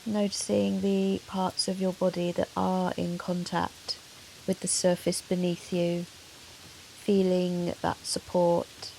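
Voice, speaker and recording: A woman yoga teacher leading a guided meditation in a soothing, measured, gentle manner with long pauses. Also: on the low side, monotone, creaky, low-quality recording. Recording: soft hiss of rain noise. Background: soft rain sound.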